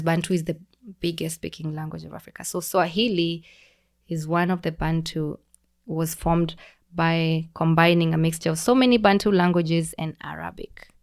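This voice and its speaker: A young woman with a Kenyan accent, a podcast guest explaining things in a relaxed, teacherly way with frequent restarts. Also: fairly low pitch. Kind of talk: casual conversational explaining.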